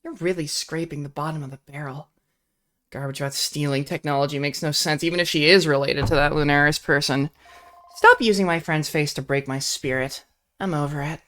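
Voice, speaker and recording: A defiant, resolute young heroine facing betrayal, read by a woman. The voice is low but bright and forceful, with an indignant edge. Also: brisk. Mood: scornful. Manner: indignant, determined. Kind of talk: reading a visual novel aloud.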